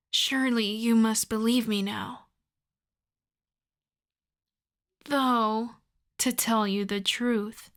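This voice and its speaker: Female vampire played by a woman, ASMR roleplay: possessive, obsessed, starved; higher register, very unhurried, breathy delivery. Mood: smug, teasing. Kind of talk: ASMR roleplay monologue to the listener.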